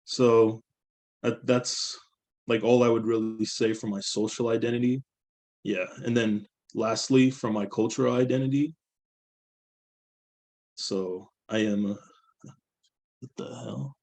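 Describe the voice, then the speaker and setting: A man's voice: mid-range voice, fairly even intonation, measured pace, pauses often, a little mellow. A young man from the Bay Area giving a class presentation, casual and loose with many fillers. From San Francisco Bay Area. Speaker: student presenter.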